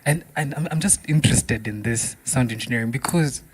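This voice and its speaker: A man's voice: mid-range voice, a little fast, husky. A man with a Kenyan accent hosting a music talk show in casual, bantering style with exclaiming reactions. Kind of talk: casual bantering interview, switching between English and Swahili.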